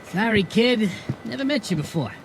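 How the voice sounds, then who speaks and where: An actor playing a wry, slangy goblin bartender in a noir audio drama, familiar and streetwise in manner. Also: male voice, sits high, expressive, moderate pace, creaky. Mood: scolding, then friendly. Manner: slangy, familiar, calling people kid. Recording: music playing behind the voice. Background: music.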